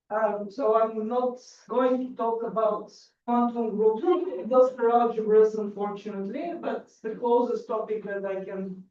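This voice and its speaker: A woman from Bulgaria giving a math conference lecture, halting, with many restarts and fillers. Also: a little high, a little mellow, slight background noise. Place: lecture hall. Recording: echoey hall, distant lo-fi mic.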